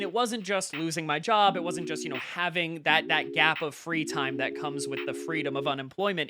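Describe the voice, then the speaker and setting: An actor playing an excitable, paranoid male conspiracy vlogger in his late twenties, chatty, wry and emphatic, in an audio drama. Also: high voice. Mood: earnest. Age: late 20s. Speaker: host of a conspiracy video channel. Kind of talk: scripted audio drama monologue as a casual vlog.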